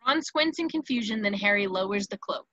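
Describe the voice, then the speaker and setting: A woman's voice: medium pitch, expressive, a little fast, a bit bright, no echo, muffled recording. A woman narrating a screenplay, reading out scene headings and stage directions.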